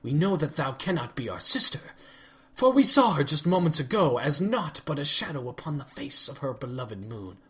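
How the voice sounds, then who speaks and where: A man's voice: fairly high pitch, very animated, moderate pace, pushed and bright, pitched above the performer's own voice. A man voicing a regal, furious pony princess. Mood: imperious. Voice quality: archaic formal speech, commanding.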